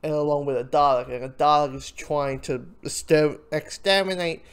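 A reviewer talking casually and off the cuff, in a hesitant, halting way with frequent false starts. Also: medium pitch, clear, resonant tone.

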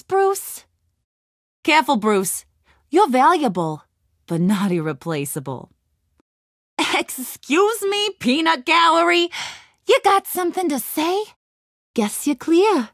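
A woman's voice: high voice, no echo, clean studio sound, heavily acted. An actress playing a cunning, menacing young female gang boss in a video game, taunting and theatrical, swinging from sweet to threatening.

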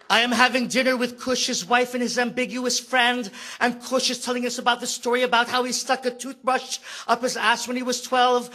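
A man in his thirties performs spoken word poetry from a stand mic on a theater stage. His voice sits high and sounds bright and forceful. He shifts from a frantic comic rant to a hushed, lyrical lament. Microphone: stand mic, far camera.

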